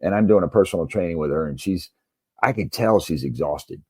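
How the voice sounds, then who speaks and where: American man, deep voice, dark tone, earnest coach explaining through anecdotes, dry close sound. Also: brisk, breathy edge, no echo. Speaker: guest, basketball coach and author. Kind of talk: conversational interview, telling anecdotes.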